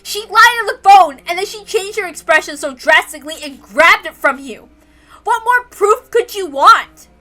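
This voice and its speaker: Visual novel sceptical girl, female performer: high, bright, argumentative, insistent, fed up. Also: animated, normal speed, faint music behind, heavily acted. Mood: accusing, exasperated. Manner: insistent, questioning. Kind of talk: dramatic reading of a visual novel.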